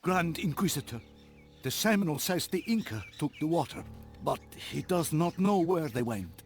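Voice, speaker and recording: Casting: eager game minion, highish voice, formal and deferential, very lively pitch. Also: male voice, moderate pace, soft background music. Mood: reporting. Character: dutiful, eager henchman reporting to his villain master. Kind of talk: video game cutscene acting.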